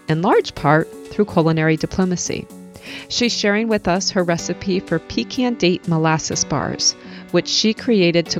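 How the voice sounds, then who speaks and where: Low-voiced woman podcast host. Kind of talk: scripted intro then interview.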